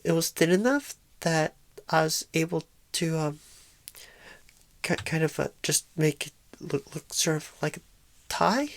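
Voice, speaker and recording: A woman playing a shy, inexperienced, nervous job recruiter in an ASMR roleplay, halting and stammering in a soft-spoken, awkward way. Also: low voice, creaky, no room sound.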